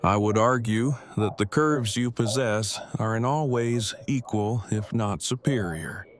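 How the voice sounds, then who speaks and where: A man acts out an awkward bookish fellow in an audio drama. His voice sits fairly low, and he talks in stiff, verbose, very formal sentences with an earnest streak. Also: measured pace, natural voice. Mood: earnest, flirtatious. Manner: stilted, verbose, formal phrasing. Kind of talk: fan audio drama acting.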